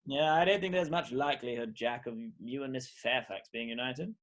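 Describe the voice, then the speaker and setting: A man's voice: mid-range voice, moderate pace, lightly acted. A man acting an unflappable young dandy in a Victorian stage comedy, airy, glib and mock-serious. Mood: airy, flippant.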